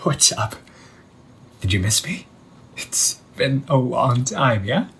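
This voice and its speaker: A man playing an undead older brother returned from the sea, talking in a hushed, halting, teasing way in an audio roleplay. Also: medium pitch, sing-song, slight background noise, heavily acted. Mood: hushed, playful menace. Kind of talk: audio roleplay monologue to the listener.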